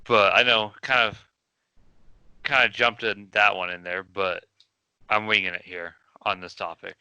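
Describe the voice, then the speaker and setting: A man with a low, bright, crisp voice hosts a casual home podcast. He talks in a laid-back, rambling way, restarting his sentences as he wings it. The sound is dry and close. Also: fairly even intonation, measured pace, no echo, low-quality recording. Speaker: podcast host. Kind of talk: casual chat.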